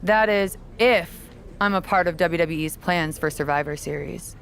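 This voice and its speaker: A woman playing a calculating veteran wrestler in a video game cutscene, talking in a cool, condescending, measured way. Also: lowish voice, a bit bright. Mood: haughty.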